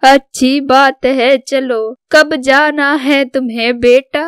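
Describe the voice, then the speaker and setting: A devoted older mother from a cartoon family drama , played by a woman. The voice is high and very clear, with a slow, solemn delivery that carries pride. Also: steady tone, a bit bright, no echo, studio quality. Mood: encouraging. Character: proud, devoted older mother of soldier sons. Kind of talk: scripted Hindi cartoon story dialogue.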